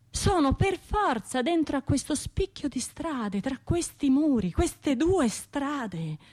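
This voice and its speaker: A woman's voice: fairly high pitch, a little mellow. An actress playing a troubled, sleepless Florentine woman in a radio monologue, with an intimate, brooding way of talking to herself in short broken phrases.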